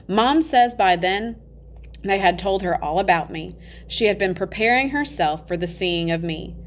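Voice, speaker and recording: Expressive woman reading aloud, slightly low-pitched. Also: slight background noise. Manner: expressive, emphatic, acts out dialogue. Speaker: teacher reading a children's novel aloud. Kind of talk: animated storybook read-aloud.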